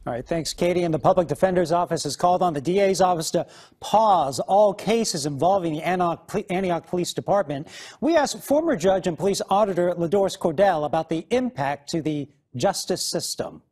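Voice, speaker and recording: A man's voice: high-pitched, animated, fairly quick, a little mellow. A man reading scripted television news as an anchor in a studio.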